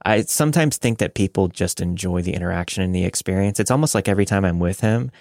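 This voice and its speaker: A man with an American accent talks casually as a podcast co-host. His voice sits low and has a gravelly, creaky grain. The sound is dry and free of echo. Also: quick pace, darkish tone.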